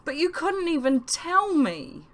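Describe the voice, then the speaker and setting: A woman's voice: high voice, sing-song, slow pace, a bit bright, voice pushed up, heavily acted. A woman voicing an anguished, protective male mentor in an audiobook, speaking with emphatic, pleading intensity.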